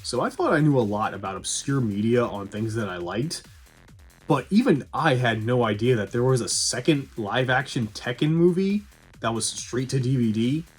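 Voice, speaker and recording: A man's voice: medium pitch, animated, normal speed, husky. A man giving a casual scripted review commentary, with sarcastic dry humour and some swearing. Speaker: video game movie reviewer. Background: faint music.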